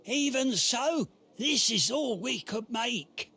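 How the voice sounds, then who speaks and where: An actor playing a haughty, money-minded supplier in a radio drama, raspy and theatrical, drawling with grudging mockery. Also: male voice, extremely high pitch, animated, takes it slowly, bright, forceful tone, full character voice.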